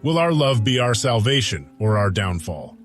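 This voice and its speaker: A man voicing a brooding, lovestruck ancient god in a dramatic audiodrama monologue, speaking gravely and formally in measured phrases with weighty pauses. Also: fairly low pitch, very animated. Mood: resolute. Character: lovestruck, brooding Anunnaki god, solemn and defiant. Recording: music behind the voice.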